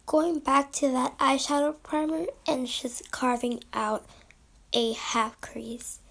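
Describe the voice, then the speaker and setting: A young woman with a slightly hoarse voice, chatting her way through a makeup tutorial at home in front of a close camera. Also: high voice, flat delivery, fairly bright tone. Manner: chatty and upbeat, quick asides. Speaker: beauty vlogger. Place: small room at home, indoors.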